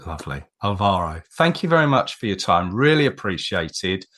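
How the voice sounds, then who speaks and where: Man, English, podcast host, casual chat, restarts often, animated pitch. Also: moderate pace. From England. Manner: chatty, rambling with restarts.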